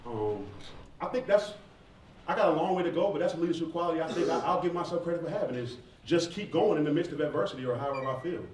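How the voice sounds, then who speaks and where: A man's voice: on the high side, lively intonation, fast. Male rapper, thirties, conversational and emphatic audience Q&A, roomy distant sound with faint noise. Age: 30s. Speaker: rapper and stage performer answering audience questions. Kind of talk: informal question-and-answer talk to students. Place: roomy indoor campus event space. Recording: echoey room, distant mic, faint noise.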